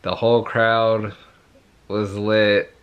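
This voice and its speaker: A young man in his twenties, hoarse after yelling at a concert, talking excitedly and rambling in a casual vlog, filmed on a phone held at arm's length. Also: lowish voice, takes it slowly, muffled recording. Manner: rambling, excited, repeats himself. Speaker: vlogger. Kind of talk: casual vlog talk to camera. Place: bedroom at home, then walking on a suburban street outdoors.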